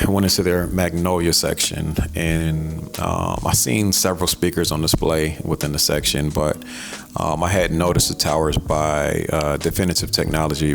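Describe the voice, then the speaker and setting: Very deep-voiced American man, gravelly. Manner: conversational, colloquial. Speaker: audio gear reviewer and host. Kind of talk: casual product review narration.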